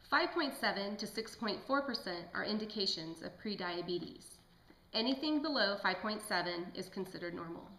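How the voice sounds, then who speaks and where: A woman's voice: mid-range voice, pushed and bright. A woman playing a polite, studious lab technician in an amateur puppet show, with careful, recited delivery. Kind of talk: scripted amateur lecture. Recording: echoey room.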